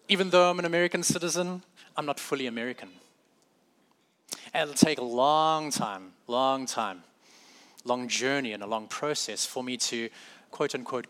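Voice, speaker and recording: Bright-voiced South African preacher. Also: male voice, mid-range voice. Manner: conversational, self-correcting, with light humorous asides. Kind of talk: sermon.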